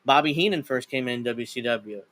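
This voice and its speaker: A man's voice: mid-range voice, a little fast, a loud crowd. A man co-hosting a casual wrestling chat, rambling and laughing often. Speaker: podcast co-host.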